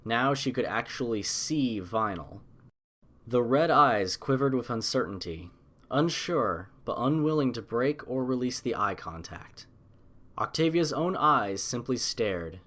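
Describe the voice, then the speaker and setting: A man narrates a piece of fiction in a medium-pitched voice. His delivery is scripted and literary, earnest in tone and dramatic in its phrasing, as in an audiobook. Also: fairly bright tone.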